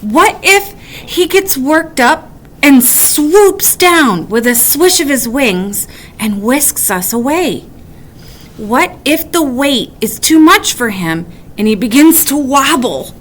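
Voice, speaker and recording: A woman's voice: high-pitched, sing-song, slow pace, fairly bright tone, a little hiss or hum, voice pushed up, full character voice. A woman voicing a bookish, nervous male woodchuck in a children's storybook, wailing dramatically over imagined dangers. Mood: nervous alarm.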